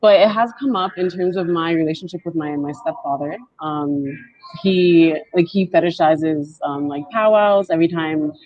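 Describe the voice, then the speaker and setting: A woman in her twenties, speaking softly and haltingly as she tells her story on a video call panel. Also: fairly low pitch, slight background noise. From Canada. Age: 20s. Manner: soft-spoken, halting and reflective. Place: indoors at home, on a video call. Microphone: wired earbuds with mic. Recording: dull lo-fi video call audio.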